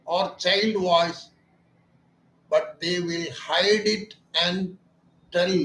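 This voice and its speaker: A man speaks as a doctor, slow and deliberate, with a fairly high voice for a man. He is earnest and emphatic, stressing his points and turning them into questions, and the room around him sounds echoey. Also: a bit bright. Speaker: ENT doctor treating voice disorders. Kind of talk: explanatory talk about a clinic's treatment.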